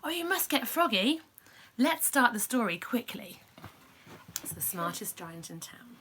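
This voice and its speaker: A woman reading a children's picture book aloud in an expressive way, with encouraging asides that prompt a child to join in. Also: fairly high pitch, unhurried, background noise. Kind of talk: animated children's story reading with interactive asides.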